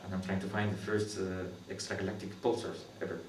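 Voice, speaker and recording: Guatemalan man speaking halting English. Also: low voice, flat delivery. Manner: halting, many fillers and restarts, thoughtful. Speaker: PhD student in astronomy. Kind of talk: interview, explaining his research. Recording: roomy, distant mic with faint background noise.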